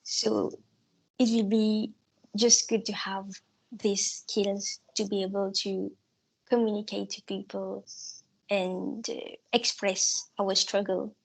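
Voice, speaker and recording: A young woman answers a hustings question over a video call, pausing often and hunting for words. English is not her first language. Her voice is slow and creaky. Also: medium pitch, flat delivery, low-quality recording. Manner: halting, searching for words. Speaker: candidate in a student election. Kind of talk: hesitant answers at an online hustings.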